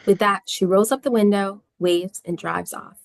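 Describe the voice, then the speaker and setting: Creaky-voiced woman narrating a script. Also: mid-range voice, fairly even intonation, normal speed. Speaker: stage-direction narrator.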